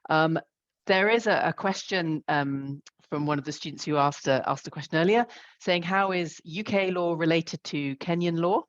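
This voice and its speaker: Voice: mid-range voice, moderate pace. A moderator reading out audience questions, hesitant, with many fillers. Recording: dull lo-fi audio.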